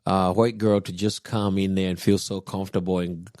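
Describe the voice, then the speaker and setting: A man, calmly telling his personal faith story on a podcast, unhurried and reflective. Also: deep voice, dry recording, clean studio sound. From Kenya. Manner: unhurried, reflective, with soft chuckles. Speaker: podcast guest husband, pastor.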